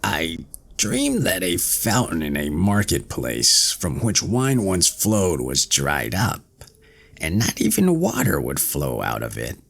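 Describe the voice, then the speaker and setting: Old crafty grandmother in a fairy tale, read by a man: low, gravelly, unhurried. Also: huge pitch swings, a bit bright, full character voice. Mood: calm. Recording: faint music behind.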